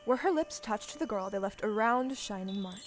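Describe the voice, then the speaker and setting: A woman playing a measured, gentle storybook narrator in a scripted audio play. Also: medium pitch, animated, a little hiss or hum, close to an everyday voice. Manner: measured, clearly phrased.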